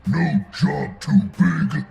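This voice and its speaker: An actor playing a hulking, menacing junker outlaw in a video game, gruff and gravelly. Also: male voice, drawn-out words, warm tone, soft background music. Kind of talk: video game character voice line.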